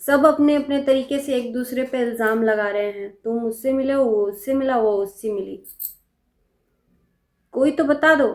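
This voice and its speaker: High-voiced woman in an echoey room. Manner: pointed, rhetorical questions and repeated phrases. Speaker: reaction video host. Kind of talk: casual opinionated commentary on online controversy.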